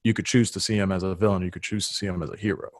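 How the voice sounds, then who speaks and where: A man's voice: low voice, fairly even intonation, rapid-fire, dry recording, clean studio sound. A man whose first language is Serbo-Croatian, hosting a podcast and talking earnestly in casual conversation, thinking aloud with restarts.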